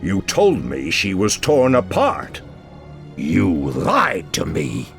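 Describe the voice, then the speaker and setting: An actor playing an anguished, noble archdruid in a fantasy game, gravelly, solemn and commanding, with theatrical emphasis. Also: male voice, deep voice, wildly swooping pitch, slow pace, faint music behind, full character voice. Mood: bitter anger. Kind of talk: dramatic game cutscene acting.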